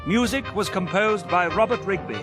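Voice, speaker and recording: A man's voice: high voice, animated, moderate pace. A man reading scripted closing credits as a radio drama announcer. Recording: music behind the announcement.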